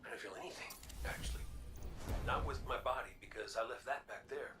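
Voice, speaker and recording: An actor playing a cocky but soft-hearted robot gunslinger in a video game, with a folksy, wry drawl. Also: male voice, mid-range voice, fairly quick, natural voice. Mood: wistful, subdued. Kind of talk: scripted video game dialogue. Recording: clear background noise, slightly distant.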